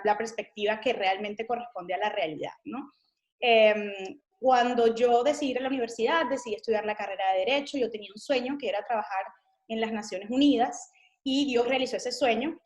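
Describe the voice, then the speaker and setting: A Venezuelan woman in her thirties speaks with a Venezuelan Spanish accent and a voice that sits a little on the high side. She tells her own testimony earnestly and reflectively, with frequent small fillers. The room around her is echoey. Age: 30s. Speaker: missionary guest. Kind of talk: interview, personal testimony.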